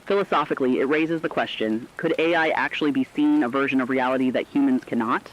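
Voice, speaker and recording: A man's voice: medium pitch, fast, close to an everyday voice. A man playing a serious crisis correspondent in a radio drama, giving formal scripted analysis on a dull, lo-fi recording. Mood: serious, alarmed.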